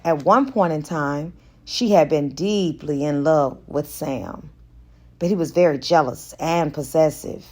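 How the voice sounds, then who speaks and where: A woman reading romance fiction aloud as an audiobook narrator, in a deliberate and expressive way. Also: sits low. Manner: deliberate, acts out dialogue. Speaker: audiobook reader.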